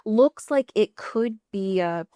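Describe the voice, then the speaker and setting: A woman's voice: medium pitch, animated, slow, dark tone, no echo. Female podcast co-host, mid-range voice, conversational and informal, dry recording. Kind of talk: casual podcast chat.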